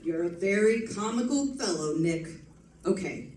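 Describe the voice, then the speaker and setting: A woman playing a gruff, sarcastic, stubborn male homicide detective in old-time radio drama, with slangy tough-cop talk. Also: medium pitch, lively intonation, a little slow, close to an everyday voice. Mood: dry accusing. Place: live stage performance, mic at a distance. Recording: distant mic in echoey, noisy room.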